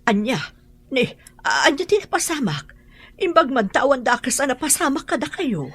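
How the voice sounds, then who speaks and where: A woman's voice: sits high, sing-song, moderate pace, a bit bright, husky, exaggerated voice. A woman with a Filipino accent playing an anxious, motherly older woman in a radio drama. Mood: concerned.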